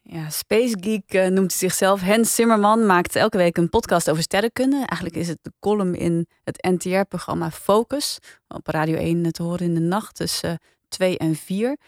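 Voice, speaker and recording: A woman's voice: on the low side, moderate pace, a little mellow, no echo, clean studio sound. A woman with a Netherlandic Dutch accent, hosting a podcast panel in casual discussion. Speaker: podcast review panel host.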